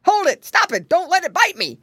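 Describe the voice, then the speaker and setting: Woman as high-voiced jolly old gentleman rabbit. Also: very animated, moderate pace, no echo, clean studio sound. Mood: alarmed.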